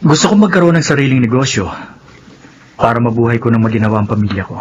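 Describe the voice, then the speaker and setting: A man's voice: medium pitch, animated, fast talker, close to an everyday voice. An actor playing a polite, hardworking male employee in a Filipino radio drama, deferential and hesitant in manner. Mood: humble. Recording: dull radio sound, faint noise.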